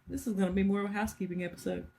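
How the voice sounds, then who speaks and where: A woman co-hosting a casual podcast, bantering and teasing, her voice a little gravelly from a sore throat. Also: mid-range voice, fairly even intonation, rapid-fire, mellow. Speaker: podcast co-host. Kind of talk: casual chat.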